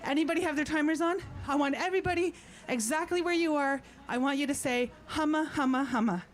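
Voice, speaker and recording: A woman's voice: sits high, fast talker. A woman who performs as a throat singer, chatting playfully and instructing an audience in an informal way from an outdoor festival stage. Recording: live outdoor sound, clear background noise.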